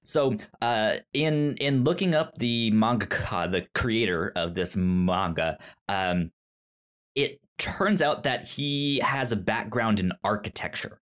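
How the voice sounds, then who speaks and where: Halting, casual male podcast host. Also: on the low side, fairly bright tone. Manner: halting, stammering with repeated word starts. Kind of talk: casual book discussion.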